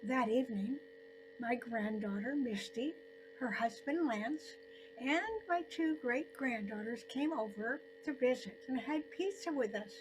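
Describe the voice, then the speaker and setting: Slow-spoken elderly woman telling a story. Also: fairly high pitch, music in the background, rough recording. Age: 70s or older. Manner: deliberate phrasing with frequent pauses. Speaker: storyteller narrating own story. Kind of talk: scripted personal story narration.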